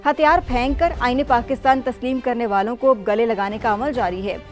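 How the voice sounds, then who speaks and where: A Pakistani woman anchors a news bulletin in a fairly high voice. She reads scripted items in the manner of a television newsreader, with music faintly playing underneath. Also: a little fast. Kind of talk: scripted news bulletin reading with reporter handovers.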